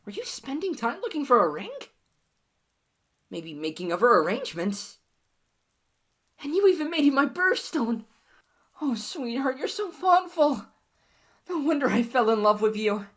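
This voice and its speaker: A woman voicing an obsessive, clingy young lover in an audio roleplay, speaking softly and pleadingly in a husky, whispery voice to the listener. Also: very deep voice, animated, normal speed, pauses often, exaggerated voice. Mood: giddy overjoyed.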